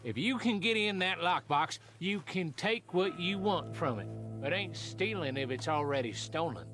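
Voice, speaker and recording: Frontier stagecoach driver, American, shaken but thankful, folksy, high-pitched, clear music underneath, western game. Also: male voice, expressive, normal speed, a bit bright. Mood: shaken gratitude. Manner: folksy, plain-spoken. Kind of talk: video game western acting.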